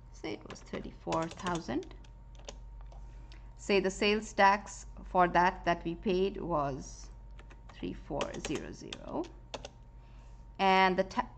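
A woman giving a screen-recorded spreadsheet tutorial, explaining step by step in a calm voice with frequent "uh" fillers. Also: medium pitch, a little hiss or hum. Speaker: software tutorial presenter.

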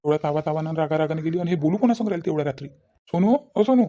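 Guy doing a confused grumbly village husband in a marathi cartoon, vidarbha accent, quick talker, soft dark tone. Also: a little high, natural voice. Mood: exasperated. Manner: questioning, grumbling. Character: puzzled, exasperated village husband in family drama. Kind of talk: dramatised cartoon dialogue in colloquial speech.

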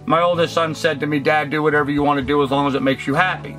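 An older man talking earnestly to the camera from a cluttered workshop, reflective and halting with many ums and restarts. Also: on the high side, level tone, over music. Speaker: vlogger. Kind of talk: casual talk to camera. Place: cluttered indoor workshop room.

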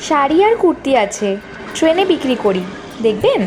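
Earnest, high-pitched young college girl. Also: very animated, normal speed, noisy background. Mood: polite, steady. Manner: earnest, polite, explanatory. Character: earnest, hardworking young college woman of modest means. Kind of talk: Bengali animated story dialogue.

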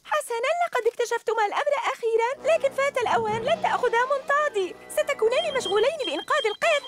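Sneaky bratty villain girl, teasing and smug, really high voice. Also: animated, rapid-fire, loud music behind the voice. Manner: taunting, smug. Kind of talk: cartoon dub acting.

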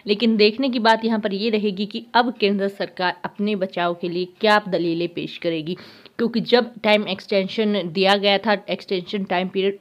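A woman's voice: medium pitch, highs cut off. A woman hosting a YouTube news channel, conversationally with some hesitations and restarts.

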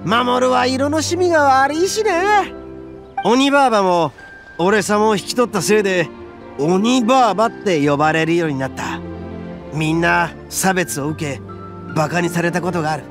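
An actor playing a boastful, brash young male oni gang leader in a game cutscene, with a rough, swaggering way of talking and a gravelly edge. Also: sits high, normal speed, close to an everyday voice. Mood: proud. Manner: swaggering, rough-spoken, self-proud. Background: soft game music.

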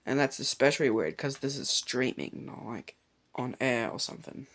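A man talks casually in a mid-pitched voice, making off-the-cuff commentary. His delivery is halting and hesitant, like an amateur video maker recording at home. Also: lively intonation, fairly bright tone. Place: dim room at home, filming a TV screen.

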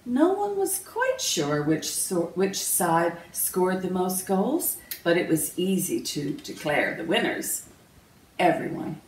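Slow, lively woman reading a storybook. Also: mid-range voice. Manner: measured, expressive read-aloud delivery. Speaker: author reading own picture book aloud. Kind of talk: children's storybook narration. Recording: roomy, distant mic, faint noise.

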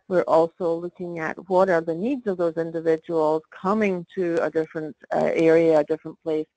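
A woman from Scotland, presenting in a webinar in a brisk, clear and organized way. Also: on the low side, a little mellow, no room sound, low-quality recording.

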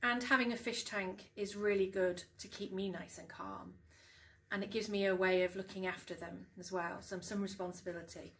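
A middle-aged woman reads a picture book to young children, expressively and deliberately, stressing key words, in a room at home. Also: mid-range voice, steady tone, a bit bright. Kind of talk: storybook read-aloud for young children. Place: small living room at home.

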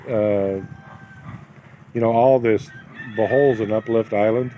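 A middle-aged or older man with a fairly low voice chats casually. He rambles along in a folksy, storytelling way. The recording is made outdoors with some ambient noise behind him. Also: steady tone, takes it slowly, warm tone. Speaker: vlogger, expatriate farmer. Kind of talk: casual chat. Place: outdoors at a waterside building site.

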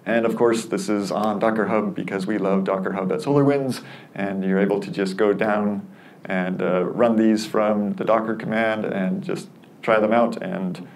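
A man's voice: lowish voice, fairly even intonation, normal speed, darkish tone, a little hiss or hum. A man in his thirties giving a friendly, upbeat lightning talk at a conference, demoing a free tool with some self-deprecating humor, on a headset mic in a room with an audience. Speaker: conference speaker. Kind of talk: lightning talk product demo.

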